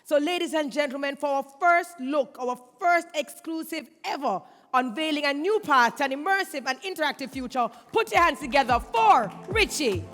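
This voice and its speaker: A woman's voice: high voice, lively intonation, moderate pace, few pauses, a bit bright, soft background music. A woman hosting an event, formally introducing a speaker from the stage. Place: conference stage in a large hall. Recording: echoey hall through a stage PA.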